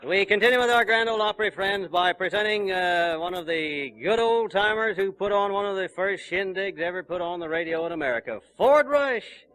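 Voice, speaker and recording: A man's voice: high voice, moderate pace, few pauses, a bit bright, rough recording. A man announcing a live 1930s country music radio show in a folksy, jovial, exclamatory way. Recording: vintage 1939 radio broadcast, music behind.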